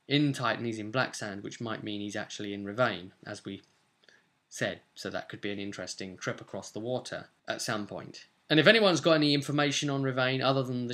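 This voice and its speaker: Bright-voiced young British man, chatting casually. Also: medium pitch. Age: 20s. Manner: rambling, conversational, with tag questions. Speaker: game master recapping sessions as vlogger. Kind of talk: casual chat to camera. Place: small indoor room at home.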